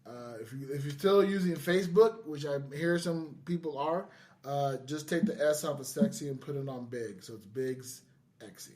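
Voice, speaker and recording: A man's voice: on the high side, normal speed, a little mellow. Jokey middle-aged man hosting a podcast. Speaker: podcast host. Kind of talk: casual chat. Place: indoors, in person with co-hosts.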